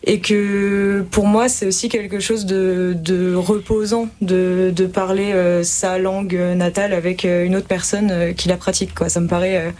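A woman's voice: medium pitch, level tone, normal speed, few pauses, a little mellow. Earnest woman thinking aloud. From France. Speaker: panel participant. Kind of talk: discussion on a talk show.

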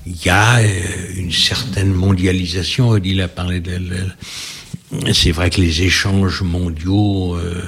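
Slow, husky deep-voiced man. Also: fairly even intonation, a bit bright. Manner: measured, hesitant, many pauses. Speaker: panel guest. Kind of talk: reflective debate talk.

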